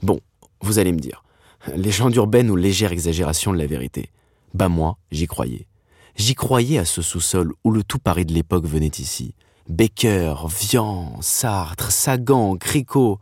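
A man's voice: low-pitched, expressive, a little slow, a little mellow, slightly rough, no room sound, studio quality. A man in his thirties narrating a personal documentary. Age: 30s.

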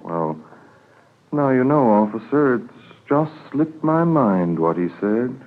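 An actor playing an evasive male suspect in a 1950s radio drama, hesitant and halting as he hedges with excuses. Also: medium pitch, sing-song. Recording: muffled 1950s radio transcription recording.

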